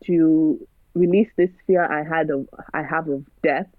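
A woman's voice: low-pitched, fairly even intonation, a little slow, no echo. Earnest woman, tinny phone-like sound. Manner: earnest, preachy, rhetorical questions. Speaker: guest. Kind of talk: casual conversation. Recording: dry, phone-like narrow sound.

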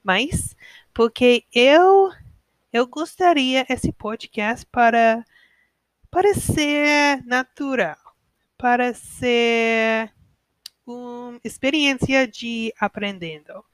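Young American woman hosting a podcast, speaks slowly and hesitantly as a learner, clear voice, dry close sound. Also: a little high, no echo. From United States. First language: English. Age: 20s. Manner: hesitant learner, frequent pauses and self-corrections. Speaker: podcast host. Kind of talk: casual podcast monologue.

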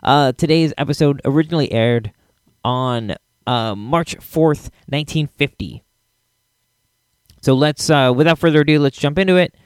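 A man hosting a podcast with a casual introduction, sometimes halting as he searches for words. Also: fairly high pitch, clean tone, no echo, studio quality. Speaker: podcast host.

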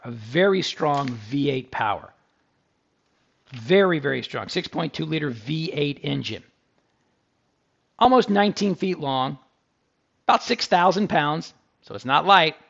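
A man's voice: a little high, vocal fry, lo-fi sound. A man hosting a car review podcast, talking casually and with enthusiasm, full of asides to his listeners.

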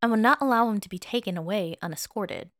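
A woman voicing a protective middle-aged female mentor in an audio story, firm, measured and caring. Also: mid-range voice, no room sound, clean studio sound, natural voice. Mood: sharp, guarded.

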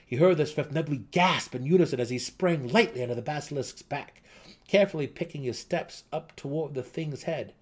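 A man's voice: a little high, a little fast. A man reading a fantasy novel aloud as a dramatic narrator. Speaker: audiobook narrator.

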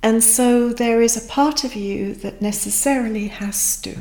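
A woman speaking as a therapist, slowly and reflectively guiding a conversation. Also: fairly high pitch, dark tone, roomy sound. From Pakistan.

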